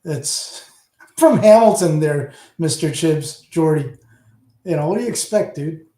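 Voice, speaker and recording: Middle-aged canadian guy, ontario accent, high-ish mellow voice, rambles and talks back to chat. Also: animated, measured pace. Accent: Canadian, Southern Ontario. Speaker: channel host. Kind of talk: casual livestream chat. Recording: roomy echo.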